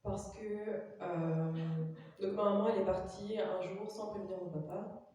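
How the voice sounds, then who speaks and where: Young Belgian woman, halting church testimony, dark tone, distant mic, very echoey chapel. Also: mid-range voice, steady tone, a little hiss or hum. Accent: Belgian French. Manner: hesitant, many fillers. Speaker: testimony giver. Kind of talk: personal testimony in church, interpreted.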